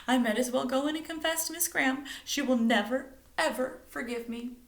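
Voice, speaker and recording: A woman's voice: sits high, fairly quick, echoey room, pitched above the performer's own voice. A woman voicing an anxious little girl in a whining, dramatic way for a storybook. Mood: tearful. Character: anxious, tearful little girl who lost her library book.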